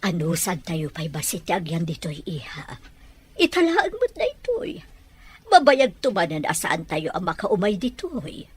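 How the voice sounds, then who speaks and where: Woman playing a husky, firm older mother. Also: on the low side, huge pitch swings, measured pace, exaggerated voice. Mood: practical. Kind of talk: amateur audio drama acting.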